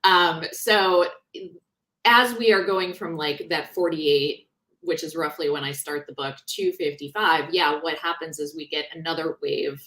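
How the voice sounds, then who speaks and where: A woman author talking in a conversational interview, explaining things in an animated, informal way. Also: sits low, a little slow. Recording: roomy, echoing sound.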